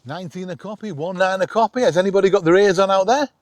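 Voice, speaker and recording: A man's voice: high voice, fast, dry recording. A middle-aged man, chatting casually and enthusiastically as a self-deprecating YouTube host.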